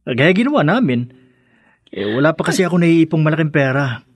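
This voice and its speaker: A man's voice: on the high side, sing-song, brisk, no room sound. A man acting in a Filipino radio drama as an earnest young newlywed, a conciliatory son and brother. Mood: nervous, pleading.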